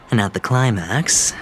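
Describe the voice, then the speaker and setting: Slow, low-voiced cocky gambler. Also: male voice, slight background noise. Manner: theatrical, teasing, deliberate with dramatic pauses. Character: smooth, cocky young male gambler and schemer. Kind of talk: video game cutscene acting.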